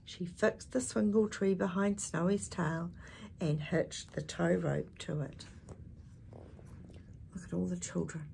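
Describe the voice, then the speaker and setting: An older woman with a husky voice reading a picture book expressively to young listeners, adding chatty asides and animated tag questions. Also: on the low side, slow, pauses often, a little hiss or hum. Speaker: children's storytime reader. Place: small indoor space with curtain backdrop.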